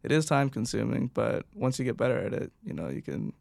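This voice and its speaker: A man's voice: mid-range voice, very animated, fairly quick, gravelly, a little mellow, no echo, studio quality. A young man who is a personal trainer, answering interview questions in an earnest, agreeable way. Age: young adult. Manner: earnest, agreeable, frequent "yeah".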